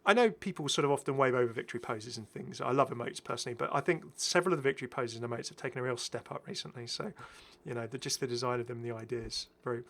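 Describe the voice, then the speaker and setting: A man's voice: medium pitch, fast talker, vocal fry, fairly bright tone. A British man giving casual, enthusiastic, rambling commentary as the host of a gaming video. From Britain. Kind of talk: casual commentary over game footage.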